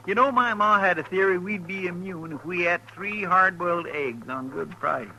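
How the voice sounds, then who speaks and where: An actor playing a folksy, plaintive, talkative cowboy sidekick with a rambling drawl, in 1950s radio style. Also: male voice, sits high, normal speed, full character voice. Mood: folksy, chatty. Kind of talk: 1950s radio drama acting. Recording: bright, noisy 1950s radio recording.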